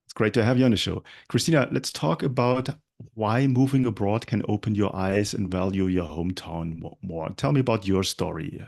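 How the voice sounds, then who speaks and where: A man hosting a travel podcast, opening with a steady, scripted introduction and then interviewing his guest. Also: fairly low pitch, normal speed, vocal fry. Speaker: podcast host.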